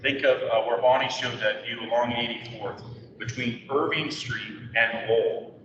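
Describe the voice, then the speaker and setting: A man leading a neighborhood meeting, talking loudly and emphatically to the room. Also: medium pitch, steady tone, normal speed. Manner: loud voice, conversational and emphatic. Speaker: meeting moderator. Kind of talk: public meeting presentation. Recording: echoey hall, far from mic, dull, crowd noise.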